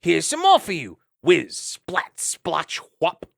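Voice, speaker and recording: A man's voice: a little high, wildly swooping pitch, slow pace, gravelly, no echo, clean studio sound, pitched above the performer's own voice, full character voice. A man voicing a small, mischievous hedgehog child who giggles while teasing. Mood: giggly.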